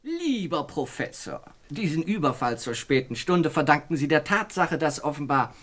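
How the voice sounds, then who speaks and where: A senior government lord in an old radio crime comedy. His voice is on the high side. He speaks with formal courtesy and halting pauses. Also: big pitch swings, a bit bright. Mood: polite, halting. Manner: formal, hesitant with ums. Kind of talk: mid-century German crime radio comedy acting.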